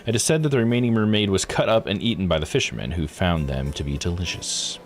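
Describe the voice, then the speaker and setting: A man's voice: sits low, fast. A tired man streaming a game live, reading its text aloud with casual asides and correcting himself on tricky names. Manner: stumbles over foreign names and self-corrects.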